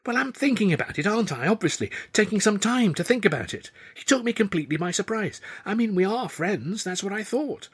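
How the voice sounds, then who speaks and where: A man voicing a flustered young woman, a stage assistant, in an audiobook reading, with a husky voice. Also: lively intonation, a bit bright, heavily acted. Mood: flustered, defensive. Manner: quick, defensive, higher voice.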